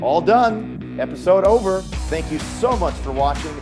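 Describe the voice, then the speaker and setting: A man's voice: sits high, lively intonation, normal speed, over music. A middle-aged man, a sports announcer, hosting a conversational interview and rephrasing his questions as he goes.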